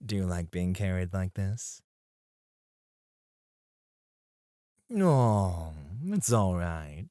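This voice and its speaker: A man acting a flirtatious, self-assured male stripper in an ASMR roleplay, suave and teasing, with breathy asides, close on the microphone. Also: on the low side, warm tone, no echo. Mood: flirty, confident. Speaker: ASMR voice actor.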